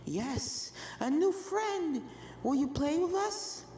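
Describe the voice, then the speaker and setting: A woman's voice: high-pitched, lively intonation, slow pace, warm tone, voice pushed up. A woman voicing a pair of playful little ghost colts, five-year-old boys who echo each other in a childlike sing-song for a story reading. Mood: eerily playful. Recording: music behind the voice.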